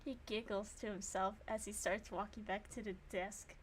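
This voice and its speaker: A woman's voice: mid-range voice, fairly even intonation, normal speed, breathy edge. A young woman streamer giving casual game commentary and reading narration aloud, self-deprecating and free with swearing.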